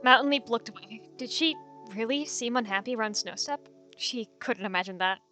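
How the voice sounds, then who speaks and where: Female story narrator, dramatic delivery, bright and fairly high voice, faint music underneath. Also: a little fast.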